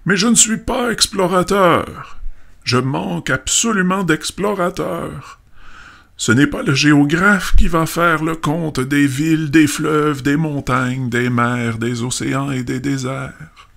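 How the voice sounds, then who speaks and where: A pompous old geographer from a storybook, speaks very slowly with a gravelly creak. He weighs out each phrase with pedantic self-importance. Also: a little high, a bit bright, full character voice. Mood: pedantic, self-important. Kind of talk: audiobook story dramatization.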